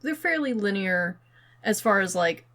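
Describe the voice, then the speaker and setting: Female podcast co-host, mid-range voice, informal conversational delivery, halting and thinking out loud. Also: mid-range voice, lively intonation. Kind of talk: casual chat about films and games.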